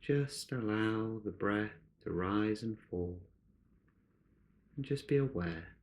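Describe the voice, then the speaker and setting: A man leading a guided meditation in a gentle, unhurried way, speaking in short phrases with long pauses. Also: lowish voice, animated.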